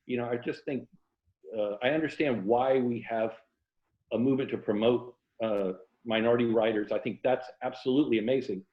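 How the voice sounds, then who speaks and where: A man's voice: medium pitch, fairly even intonation, a little fast. An older American man speaks as a guest in a casual literary interview. He thinks aloud in a conversational way. The sound is slightly dull, with noticeable room echo. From Tampa, Florida, United States. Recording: muffled, echoey room audio.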